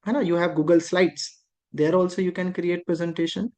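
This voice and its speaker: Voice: medium pitch, fairly even intonation, a little fast, a little mellow. Patient instructor explaining step by step. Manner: patient, repetitive explaining with frequent rhetorical questions. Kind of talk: explaining a lesson.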